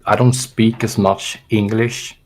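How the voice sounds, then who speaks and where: A man's voice: fairly low pitch, flat delivery, takes it slowly. A young German-born man raised in Sweden, a fitness coach chatting casually, hesitant and restarting his sentences, with a German and Swedish accent. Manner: hesitant, restarting thoughts, talks it through. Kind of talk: casual podcast chat.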